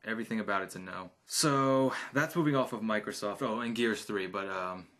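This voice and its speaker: Man, lowish voice, rambling gamer vlog, chatty, lots of likes and ums. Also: brisk, fairly bright tone. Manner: opinionated and offhand. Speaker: gaming YouTuber. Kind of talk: casual opinion vlog.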